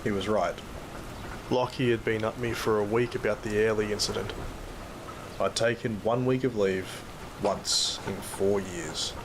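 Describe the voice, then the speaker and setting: An actor plays a weary Australian dad with a low voice, telling his own grim story in an audio drama. He sounds troubled and narrates in the first person over loud noise and rain. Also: fairly even intonation, measured pace, close to an everyday voice. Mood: weary, brooding. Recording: very noisy track, rain and storm ambience.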